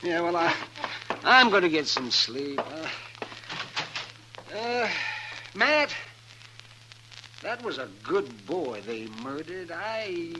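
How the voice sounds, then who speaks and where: An actor playing a testy, principled frontier doctor in a 1950s radio western, folksy and emphatic, with an American accent. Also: male voice, fairly high pitch, big pitch swings, very slow, long pauses, full character voice. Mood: weary, bitter. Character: crusty, indignant, principled male frontier town doctor. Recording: noisy old radio recording.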